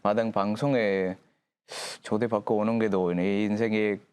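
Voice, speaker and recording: A young man from India, a guest on a TV talk show, speaking slow, searching Korean with restarts. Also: on the low side, level tone, a little mellow, smooth. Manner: slow, searching non-native speech with restarts. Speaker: guest, taekwondo athlete. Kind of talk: casual chat on a TV talk show.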